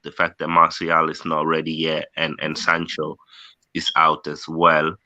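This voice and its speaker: A man's voice: very deep voice, sharp tone, no room sound. A man from Gambia, raised speaking Swedish, talking football casually as a podcast guest. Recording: muffled remote audio.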